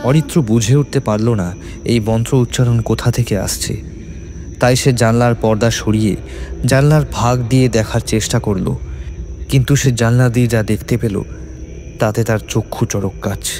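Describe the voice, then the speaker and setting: A man narrating a scripted horror story in suspenseful, measured phrases. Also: medium pitch, steady tone. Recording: faint music behind.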